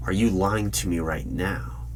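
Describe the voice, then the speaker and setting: Guy playing suspicious secret bureau interviewer, deep voice, pushy deliberate questions, asmr roleplay, typing and hum in the background. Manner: probing, deliberate, insistent questioning. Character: stern, suspicious male hiring manager of secret bureau. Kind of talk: loud-spoken ASMR job interview roleplay. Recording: clear hum, computer keyboard typing noise. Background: keyboard typing.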